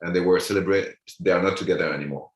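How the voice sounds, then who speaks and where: A man's voice: deep voice, steady tone, fast talker. A man, chatting casually as a guest comedian, often repeating words as he searches for them. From France. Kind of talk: casual chat. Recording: roomy echo.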